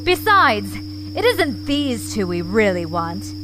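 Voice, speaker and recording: A woman playing a vengeful, scheming fox commander in an audio space drama, menacing and deliberate in a theatrical way. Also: mid-range voice, sing-song, music in the background. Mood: vengeful, gloating. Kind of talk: scripted audio drama acting.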